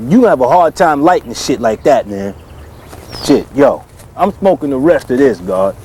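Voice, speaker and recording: An actor playing an excitable stoner hype man, a sidekick trading slangy comedy banter. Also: medium pitch, moderate pace, a little hiss or hum, close to an everyday voice. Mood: indignant. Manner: excitable, hyped up.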